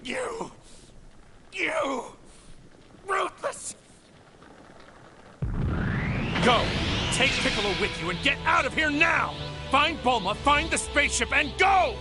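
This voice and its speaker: A man's voice: extremely high pitch, sing-song, measured pace, pauses often, full character voice. A man playing a proud, righteous young warrior hero in a dramatic anime video game, with intense delivery. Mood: seething rage. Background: dramatic music.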